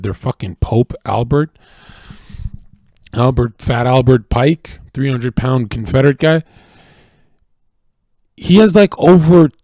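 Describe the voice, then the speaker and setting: Tired, low-voiced man ranting. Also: mellow, no echo. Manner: rambling, profane, preachy, with frequent restarts. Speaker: streamer. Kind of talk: casual opinionated monologue.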